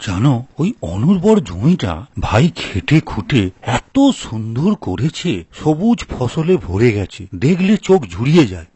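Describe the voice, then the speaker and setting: A man playing a grudging, proud elder brother from a village in a dramatic, expressive folk-tale performance, with a husky voice. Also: medium pitch, slow, few pauses, dry recording, full character voice. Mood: regretful.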